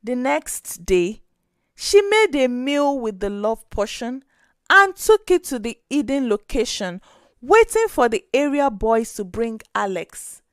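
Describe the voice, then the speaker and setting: A woman narrating a scripted story in an expressive, dramatic way. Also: on the high side, slow, clear, resonant tone, no room sound, clean studio sound.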